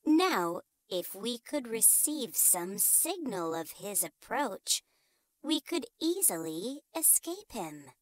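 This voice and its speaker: A woman's voice: on the high side, sing-song, takes it slowly, no room sound. A woman voicing a clever, proud young male mouse in a storybook, making an earnest, declamatory speech. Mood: earnest, urgent.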